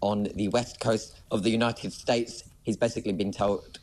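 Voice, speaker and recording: A man's voice: lowish voice, steady tone, moderate pace, darkish tone. A man giving live breaking news analysis as an aviation analyst, explaining step by step with hesitant phrasing and stressed key words.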